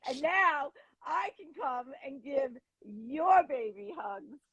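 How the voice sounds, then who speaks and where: A middle-aged former schoolteacher tells stories with excitable, gushing energy. Her voice is very high and swings widely in pitch. The sound is dry and close. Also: slow, dry recording, dull audio. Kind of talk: casual chat on a livestream.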